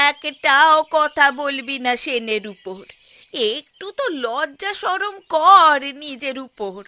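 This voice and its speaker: High-pitched nagging cartoon housewife played by a woman. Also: pushed and bright, heavily acted. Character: scolding, sharp-tongued Bengali mother and housewife. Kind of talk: cartoon voice acting.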